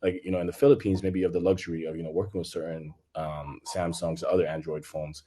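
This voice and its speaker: A man's voice: deep voice, level tone, fast, warm tone, slight background noise. A man from Congo, a native French speaker, talking loosely on a conference panel with many fillers. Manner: loose and filler-heavy, leaning on "you know". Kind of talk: casual panel discussion.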